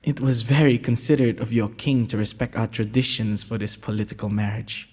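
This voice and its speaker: A man playing a gentle, courteous young prince in a romantic audio roleplay, speaking formally and a little hesitantly. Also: lowish voice, level tone, fairly quick. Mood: earnest, dutiful. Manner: formal, polite, breathy speech with hesitations. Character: gentle, courteous young underground prince, nervous, earnest suitor. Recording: long hall-like reverb.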